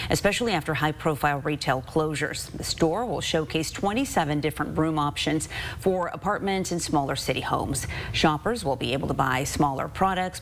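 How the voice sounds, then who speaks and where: A woman's voice: deep voice, slight background noise. A woman with an American accent reading scripted news as a television anchor.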